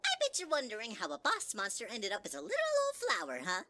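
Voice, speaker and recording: A woman's voice: huge pitch swings, fast, pushed and bright, full character voice. A woman voicing a sweet-talking, sinister male flower in a fan audio drama, syrupy and teasing. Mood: sugary. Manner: syrupy, teasing, swings high and low.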